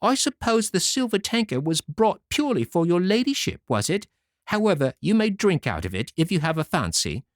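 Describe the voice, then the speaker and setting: A man's voice: high-pitched, sing-song, normal speed, no room sound, clean studio sound, pitched above the performer's own voice. A man voicing a proud, rude young girl who answers with sarcasm in a fairy tale reading. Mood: sneering. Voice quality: sneering, sarcastic. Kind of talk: storybook reading.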